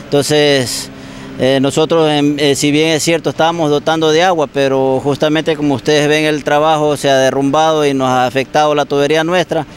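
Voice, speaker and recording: A man's voice: on the high side, flat delivery, normal speed, a little hiss or hum. A man with an Ecuadorian accent, a water utility manager answering interview questions hesitantly, with fillers. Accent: Ecuadorian Spanish. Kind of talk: news interview.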